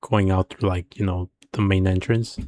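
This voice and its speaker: A man with a low voice and little melody gives casual reaction commentary. He muses informally, posing questions as he thinks aloud, over faint background noise. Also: flat delivery, moderate pace, darkish tone. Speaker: reaction video host.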